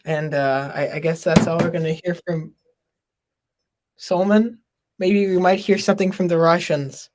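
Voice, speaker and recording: A man from Minnesota hosting a casual live stream, talking loudly and laughing often. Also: a little high, steady tone, normal speed. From Minnesota, USA. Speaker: podcast host.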